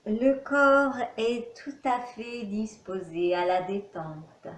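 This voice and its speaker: A woman teacher guiding a yoga nidra relaxation session in slow, soft, calm instructions with long pauses. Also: mid-range voice, reverberant, slight background noise. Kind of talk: guided relaxation.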